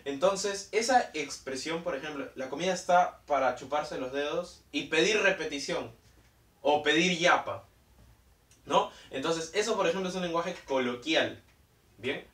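A young man teaches in front of a whiteboard. He breaks each term into steps, repeats key words and keeps checking in with listeners. His fairly high voice comes from a few steps off the camera mic, and the room gives it a clear echo. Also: measured pace, fairly bright tone, distant mic. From Peru. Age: young adult. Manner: explanatory, repeats key terms, frequent "¿ok?" check-ins. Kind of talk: whiteboard lesson. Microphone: camera mic from a distance.

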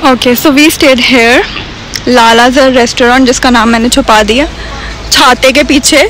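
A young woman with a Pakistani accent vlogging casually on her phone, expressive and switching into English. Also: on the high side, measured pace, a little hiss or hum, rough recording. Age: young adult. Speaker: travel vlogger. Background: loud music.

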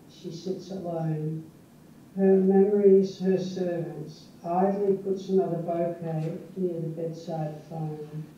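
An older woman, a native Dutch speaker from the Netherlands, reading her own poem in a measured, unhurried way. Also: on the low side, warm tone, a little hiss or hum. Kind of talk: poetry reading. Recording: echoey, distant, dull.

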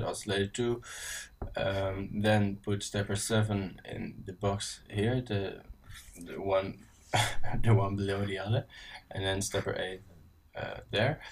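Dutch-accented man, low voice, halting casual tutorial voice-over, set back from the mic. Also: recorded from a distance, a little hiss or hum. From Netherlands. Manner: casual, halting, many ums and restarts. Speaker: synth tutorial presenter. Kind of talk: screen-recorded software tutorial. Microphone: voice-over on screen recording.

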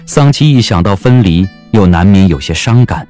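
A man narrating a historical romance audio drama as an expressive storyteller. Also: low-pitched, normal speed, soft background music.

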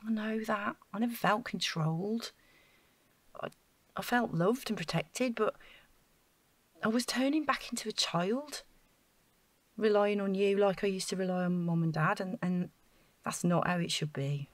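A woman's voice: mid-range voice, a little slow, long pauses, dry recording, natural voice. An actress playing an anxious, vulnerable woman in a naturalistic audio drama, hesitant and emotional, with sighs. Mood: tender, earnest. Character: Rachel, anxious, vulnerable young woman, apologetic and earnest.

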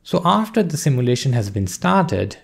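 A man's voice: medium pitch, moderate pace, creaky. Man explaining code over screen recording. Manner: steady explanatory pace, walks through steps. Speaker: course instructor. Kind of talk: screen-recorded coding lesson.

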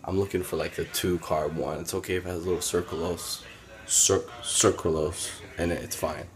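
A man in his twenties chatting casually to a handheld phone camera, relaxed, with lots of fillers. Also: low voice, moderate pace, a crowd in the background. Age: 20s. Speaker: vlogger. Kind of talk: casual daily vlog. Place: car, store and home kitchen. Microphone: handheld phone at arm's length.

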